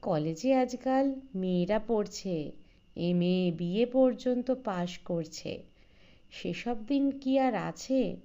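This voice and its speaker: A woman's voice: medium pitch, expressive, slow pace, mellow, highs cut off. A woman voicing a smug, educated young man from the city in an audio story, lecturing in a condescending way.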